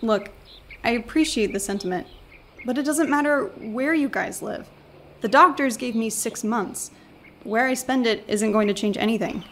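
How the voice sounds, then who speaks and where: Woman playing high-voiced, skeptical young woman. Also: moderate pace, fairly bright tone, slight background noise. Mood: frustrated, weary. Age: young adult. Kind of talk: radio play acting. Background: birdsong, woodland ambience.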